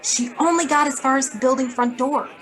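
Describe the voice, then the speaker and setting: An actress playing a brisk, blunt, wisecracking female editor in a hard-boiled radio drama. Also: sits high, close to an everyday voice, low-quality recording. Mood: brisk, worried. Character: brisk, sardonic, worried female magazine editor.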